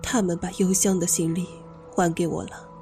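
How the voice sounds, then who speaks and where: A woman's voice: fairly low pitch, level tone, normal speed, dark tone, soft background music, natural voice. An actress playing a bitter, guarded bereaved mother, suspicious and defensive, in an audio drama. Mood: bitter, subdued.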